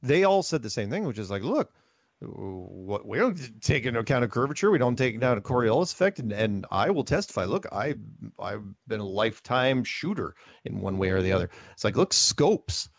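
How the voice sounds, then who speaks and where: Male interview host, lively pitch swings, emphatic and conversational, slightly lo-fi audio. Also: fairly quick, dry recording. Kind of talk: conversational interview with asides to listeners.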